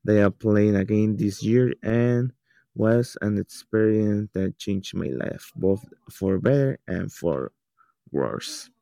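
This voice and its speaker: Slow, gravelly-voiced teenage boy. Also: on the low side, dark tone, dry recording. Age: teens. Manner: halting, non-native English with restarts. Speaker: student telling his story. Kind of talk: reading a personal account.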